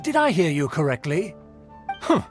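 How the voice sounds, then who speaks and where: A haughty, temperamental nobleman from a fantasy game. His fairly high voice rises and falls dramatically in pompous, florid, condescending phrases. Music sits behind him. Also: sing-song, normal speed. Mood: haughty, indignant. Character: haughty, temperamental aristocratic man, scornful snob. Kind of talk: anime fantasy game voice acting.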